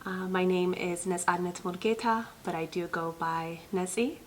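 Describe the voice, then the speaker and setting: A woman's voice: fairly low pitch, normal speed, slight background noise. A woman in her thirties from Ethiopia, a pediatric nurse practitioner hosting her own channel, talking earnestly to viewers with lots of ums. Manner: earnest, many ums, speaks directly to viewers. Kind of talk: personal talk to camera.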